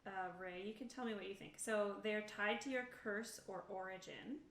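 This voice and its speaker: A woman's voice: mid-range voice, moderate pace. Distant, echoey woman chatting at a game table. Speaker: player in a tabletop roleplaying game. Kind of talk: casual table talk while creating a character. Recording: roomy echo, distant mic, noisy background.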